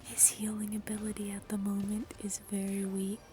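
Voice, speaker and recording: Whispering young woman, softly rambling. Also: medium pitch, completely flat delivery, moderate pace. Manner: whispering, rambling, frequent ums. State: slightly raspy, dry throat. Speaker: gaming YouTuber. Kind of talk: soft-spoken whispered gameplay chat.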